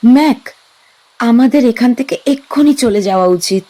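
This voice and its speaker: A young woman in a horror radio play, frightened and insistent, her voice high and pressing, played by an actress. She sounds urgent and on edge throughout. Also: animated, normal speed, warm tone, lightly acted. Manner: high, urgent and tense delivery. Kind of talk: audio drama acting.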